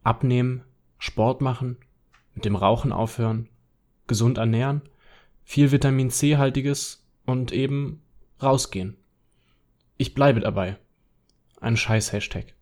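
A man's voice: mid-range voice, a little slow, pauses often, a little mellow, lightly acted. A man reading as a worried, defiant social media user in a radio collage, arguing in a conversational way. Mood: critical, defiant. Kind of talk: scripted radio collage reading of online posts.

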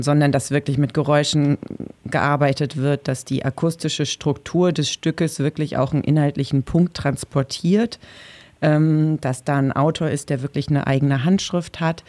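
A man talks conversationally in an interview. His voice is mid-pitched and mellow, recorded dry and close. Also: no room sound. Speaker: association organizer, interviewee.

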